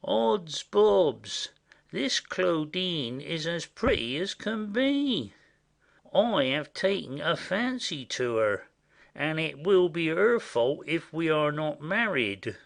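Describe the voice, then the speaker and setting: Stage comedy audioplay: male actor as gullible rustic go-between, very animated, slow and halting, forever confiding secrets he should keep. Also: on the high side, vocal fry, exaggerated voice. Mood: chatty, pleased. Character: simple, indiscreet rustic male messenger, conspiratorial and chatty.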